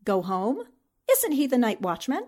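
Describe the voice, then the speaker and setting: A woman's voice: a little high, wildly swooping pitch, unhurried, darkish tone, full character voice. A woman acting a bold, inquisitive newspaper reporter in a 1940s-style radio drama, resolute and questioning. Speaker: actor in an audio drama.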